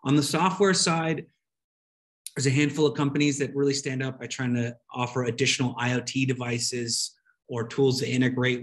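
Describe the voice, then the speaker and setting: A man's voice: mid-range voice, fairly bright tone. A man presenting a webinar over a video call, explaining slides in a conversational, loosely phrased way.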